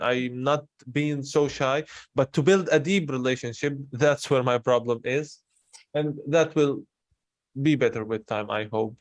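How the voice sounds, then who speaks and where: A young adult man talks openly and personally. His voice sits at an average pitch, and the sound is dry with very little room in it. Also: no room sound. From Syria. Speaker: interviewer in a conversation. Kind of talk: candid personal conversation.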